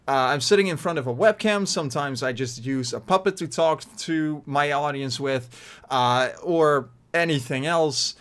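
A man's voice: a little high, moderate pace, clean tone. Rambling Dutch-accented young man vlogging. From Netherlands. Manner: rambling, self-deprecating, lots of "uh" fillers and restarts; animated hand gestures while talking. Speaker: YouTuber. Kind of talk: casual vlog talk to webcam. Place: small bedroom, indoors. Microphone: desk mic in front of webcam.

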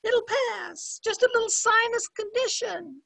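A woman's voice: extremely high pitch, unhurried, vocal fry, bright, forceful tone, heavily acted. A woman in her sixties playing a sardonic, long-suffering, wisecracking maid in an old-time radio comedy, recorded over a video call. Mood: brisk, wry. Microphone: computer mic on video call.

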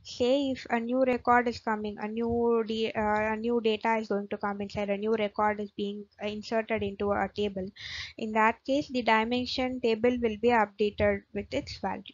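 A woman's voice: fairly high pitch, normal speed, dry recording. A woman explaining data engineering interview questions over slides, often stopping on "okay" and "uh". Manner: steady explanatory talk with frequent "okay" and "uh" fillers. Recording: dull, dry lo-fi voice-over.

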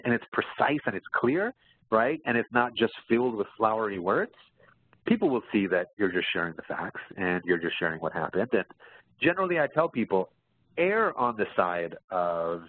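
A man's voice: on the low side, slightly rough. A man presenting as a career coach, answering webinar questions in an explanatory way, often checking in as he goes. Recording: muffled phone-like line in echoey room.

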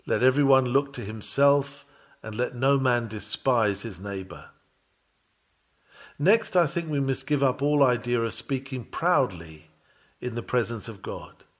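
A man delivers a written sermon in a solemn preaching style. His voice sits at a medium pitch. His phrasing is formal and rhetorical, with an earnest, measured manner throughout. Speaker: reader of a historical sermon.

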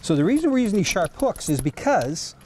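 A middle-aged man from Canada hosts a fishing show from a boat outdoors, speaking through a lapel mic. His voice sits a little high for a man but sounds mellow and soft. He explains things patiently and with enthusiasm. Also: big pitch swings, a little fast, slight background noise.

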